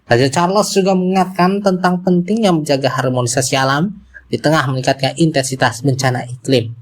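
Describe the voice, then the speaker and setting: A man's voice: fairly high pitch, a little fast. A man with an Indonesian accent reading scripted news as a presenter, moving through formal bulletin copy.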